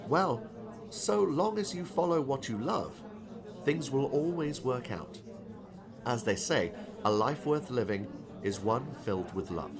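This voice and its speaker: An older retired professor and novelist played by a man, genial and a little corny. His pitch moves expressively as he speaks with courteous, philosophical warmth in an audiobook drama. A crowd murmurs behind him. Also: expressive. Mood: gently encouraging. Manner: courteous, gently philosophical, measured.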